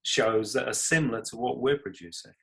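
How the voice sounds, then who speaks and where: A man's voice: mid-range voice, a little fast, a bit bright. A man with a British accent, talking casually and modestly about his own creative work as an interview guest. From United Kingdom. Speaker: podcast guest, comedy writer and creator.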